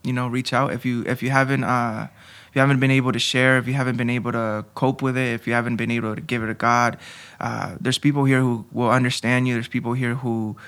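A young man from Honduras, talking openly as a podcast guest. His voice has a gravelly edge and sits at a middle pitch. He speaks candidly, searching for words and restarting often. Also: level tone, fast, a bit bright. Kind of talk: conversational interview.

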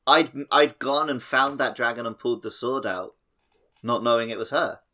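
A man's voice: mid-range voice, moderate pace, sharp tone. A man co-hosting a podcast, discussing a game in a casual, conversational style. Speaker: podcast host.

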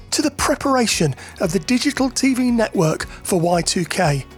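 A narrator reading a scripted introduction to a podcast episode in a smooth read-aloud delivery. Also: medium pitch.

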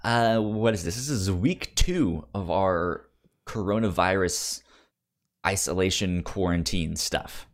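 A man's voice: low voice, slow pace. A man hosting a podcast in casual chat about movies, often stammering and repeating words as he gets into a sentence. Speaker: podcast host.

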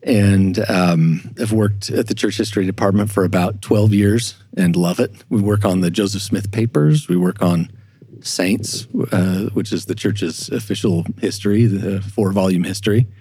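A middle-aged male historian, a guest on a podcast, answering in a thoughtful, measured way with frequent restarts. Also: lowish voice, fairly even intonation, mellow, slightly rough. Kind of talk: interview conversation.

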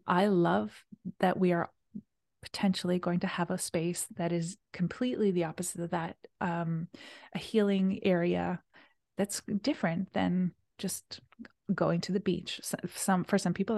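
Gravelly low-voiced woman, chatty. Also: dry recording, clean studio sound. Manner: chatty, enthusiastic, trails into soft near-whispers. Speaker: retreat host. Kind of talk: casual conversation.

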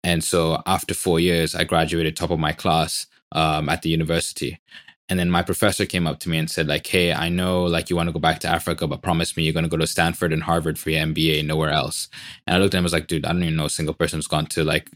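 Fast-talking deep-voiced man, founder. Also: level tone, fairly bright tone, dry recording, clean studio sound. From Tanzania. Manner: fast, filler-heavy, enthusiastic. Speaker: startup founder. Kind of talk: podcast interview.

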